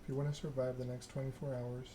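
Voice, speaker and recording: A man acts out a coldly controlled vampire enforcer in a story game. He is curt and measured, with menace held in a flat, mid-pitched voice. Clear noise sits behind the voice. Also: close to an everyday voice. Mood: guarded.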